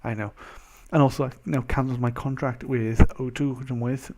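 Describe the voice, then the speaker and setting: A young man with a mild Welsh accent, chatting casually as a vlogger in a rambling, animated, self-interrupting way. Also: medium pitch, brisk, noisy background. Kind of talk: casual chat to camera.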